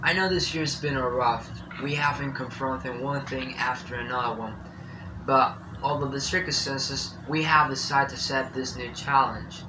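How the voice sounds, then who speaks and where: A young man from Colombia delivers a determined, rallying message. His voice is mid-pitched. The room is echoey, with clear background noise. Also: fairly even intonation, moderate pace. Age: young adult. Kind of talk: recorded video message. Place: indoor room with blinds.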